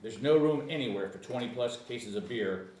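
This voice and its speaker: A man's voice: mid-range voice, normal speed, a little mellow. A man reading screenplay action lines at a comedic table read, casual, stumbling over words and chuckling. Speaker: screenplay narrator and reader. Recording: echoey room, far from mic, faint noise.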